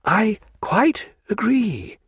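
A man voicing a fussy, polite government clerk who speaks slowly and apologetically. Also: high voice, big pitch swings, gravelly, breathy edge, no room sound, pitched above the performer's own voice, heavily acted.

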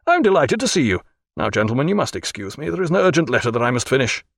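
A man's voice: mid-range voice, very animated, racing, creaky, breathy edge, dry recording, clean studio sound, full character voice. A man voicing a blunt, commanding, mischievous naval captain in an audiobook. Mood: cheerfully brisk.

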